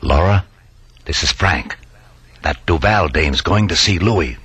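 An actor playing a cold, smooth-talking male schemer in an old radio crime drama, sly and menacing. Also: low-pitched, animated, slow. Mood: scheming. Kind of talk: 1940s-50s radio crime drama acting. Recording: old radio recording, faint noise.

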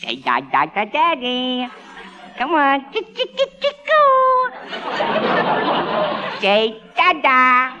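A man's voice: very high voice, wildly swooping pitch, slow pace, long pauses, over a noisy crowd, heavy background noise, heavily acted. An American man playing a doting, proud father who coos and coaxes in a radio comedy. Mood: doting, coaxing. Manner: cooing, coaxing. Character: doting proud father coaxing his baby. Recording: dull old radio recording.

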